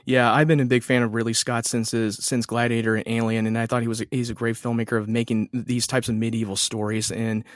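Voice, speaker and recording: A man's voice: medium pitch, little pitch movement, quick pace, few pauses, no room sound, clean studio sound. A man guesting on a film podcast, gushing about movies in long run-on sentences. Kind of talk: casual film podcast chat.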